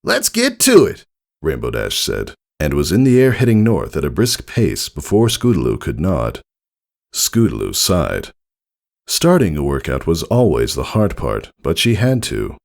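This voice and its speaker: A man's voice: very deep voice, huge pitch swings, unhurried, gravelly, a little mellow. A man narrating a scripted story in the style of an audiobook reading.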